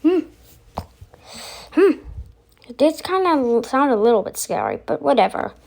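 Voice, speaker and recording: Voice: sits high, sing-song, unhurried, vocal fry, a little mellow. A video host giving casual vlog commentary, rambling and thinking aloud.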